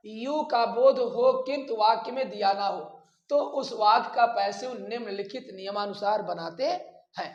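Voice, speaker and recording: An Indian man teaches in an echoey room, speaking slowly as if dictating notes. He repeats phrases and puts short rhetorical questions to his students. His accent is Indian and his delivery is that of a classroom lesson. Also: very high voice. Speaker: English grammar teacher.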